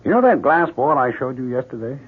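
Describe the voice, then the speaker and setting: Husky, fixated, evasive husband. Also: male voice, mid-range voice, very animated. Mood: preoccupied. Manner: distracted, halting, intent. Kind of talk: 1970s radio drama acting. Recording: muffled old radio broadcast.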